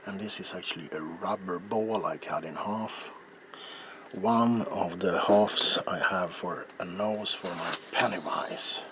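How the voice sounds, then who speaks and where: A middle-aged man narrating a craft in a soft-spoken, hesitant ASMR style that slips into whispers. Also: low-pitched, a little slow. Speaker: ASMR video maker. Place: small cluttered indoor home workroom. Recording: clear background room noise.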